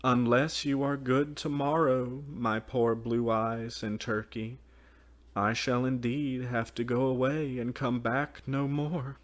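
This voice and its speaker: A man reading a grieving storybook mother in a soft, slow, sad voice, lifted slightly above his own. She sounds weary and heartbroken, with no anger left in her. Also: medium pitch, steady tone, pitched above the performer's own voice, lightly acted. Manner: sad, gentle.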